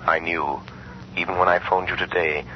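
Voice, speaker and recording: Man acting a mysterious crime-fighting avenger, low voice, measured, commanding, old muffled radio drama recording. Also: fairly even intonation, a little hiss or hum, lightly acted. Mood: calm, grave. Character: all-knowing, calm, mysterious male crime-fighting avenger.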